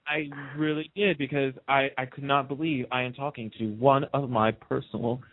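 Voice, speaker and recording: A man's voice: mid-range voice, little pitch movement, normal speed, narrow, tinny audio, a crowd in the background. A man chatting casually as a radio guest, telling stories and repeating phrases for emphasis. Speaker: radio host being interviewed. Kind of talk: casual chat, storytelling.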